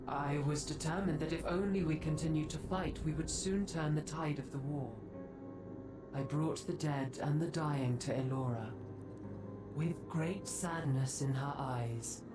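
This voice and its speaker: Ancient female centaur guardian, grave n formal, deep and really slow, game music behind. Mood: remorseful, grave. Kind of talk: dramatic fantasy game voice acting.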